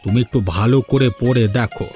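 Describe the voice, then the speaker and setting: A man's voice: medium pitch, fairly quick, darkish tone, lightly acted. A man voicing a bitter, sarcastic, aggrieved old village father in a Bengali cartoon. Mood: incredulous, hurt. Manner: grumbling, sarcastic. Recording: music behind the voice.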